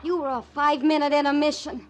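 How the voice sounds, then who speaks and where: A woman's voice: high voice, steady tone, quick pace, a little hiss or hum, natural voice. An American actress playing a desperate, lovesick woman in a 1940s radio melodrama, pleading and repeating herself. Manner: pleading, breathless repetition.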